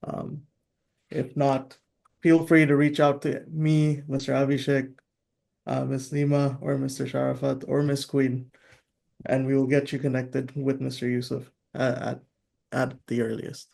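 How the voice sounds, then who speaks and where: A man's voice: medium pitch, level tone, a little slow, gravelly, darkish tone. A man hosting an online business event, thanking a guest and moving things along politely and formally. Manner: polite, formal, some fillers. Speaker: event host.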